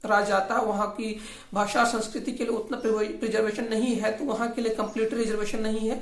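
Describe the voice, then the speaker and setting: Female political commentator, voice on the high side, argumentative and critical, informal delivery, strong room echo. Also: flat delivery, quick pace. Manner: critical, argumentative, repeats points. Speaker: host of a regional affairs channel. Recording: echoey, roomy voice recording.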